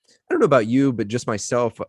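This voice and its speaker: A man's voice: medium pitch, big pitch swings, fast, dry recording, clean studio sound. A man hosting a casual podcast interview, speaking in an informal, reflective and empathetic way.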